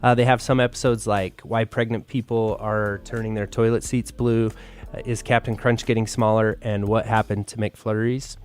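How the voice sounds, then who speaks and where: A man's voice: lowish voice, level tone, normal speed, faint music behind. A man co-hosting a podcast, sharing news and tips in a casual, conversational, explanatory way. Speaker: podcast co-host.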